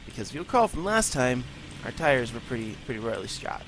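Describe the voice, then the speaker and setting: A man of middle pitch talks through his game in a loose, rambling way, breaking off into self-mocking asides. His voice is a little congested, and he records in a bare room with no acoustic paneling. A faint hiss sits under the recording. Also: very animated, brisk. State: congested from allergies. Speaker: gaming channel host. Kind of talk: let's play commentary.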